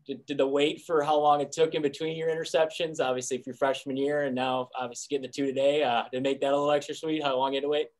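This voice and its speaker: Fast-talking male sports reporter. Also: on the high side, level tone. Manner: quick, halting questions full of "uh" and restarts. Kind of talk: press conference questions.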